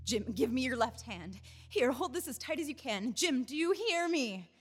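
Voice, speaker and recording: A frantic young wife, as an actress plays her in a radio drama. Her voice is fairly high and swings widely in pitch as she urges and coaxes her husband and mutters prayers. Music plays clearly under her. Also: animated, normal speed. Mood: urgent. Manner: urgent, coaxing, praying under her breath.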